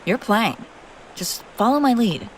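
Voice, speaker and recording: A woman's voice: a little high, expressive, measured pace, a little hiss or hum, poor audio. A woman playing a haughty, wry young princess on the run in an acted fantasy audio roleplay, bantering with exasperated sarcasm. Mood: flustered, exasperated. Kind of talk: acted audio roleplay, one-sided dialogue.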